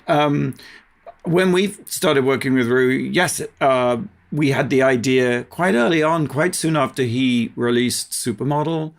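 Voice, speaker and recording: A man's voice: mid-range voice, vocal fry. A man talking casually as a guest in an interview. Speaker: podcast guest, TV production company co-founder.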